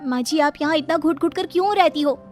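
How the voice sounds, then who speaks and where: A woman's voice: high-pitched, a little fast, a little mellow, soft background music, pitched above the performer's own voice, lightly acted. A woman voicing an outspoken, indignant young city-bred daughter-in-law in a cartoon moral story. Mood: defiant.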